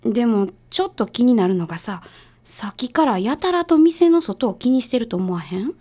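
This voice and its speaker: A woman playing a brisk, sharp detective's assistant in a radio drama, speaking casually and decisively with a Kansai accent. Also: a little high, very animated. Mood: brisk, curious.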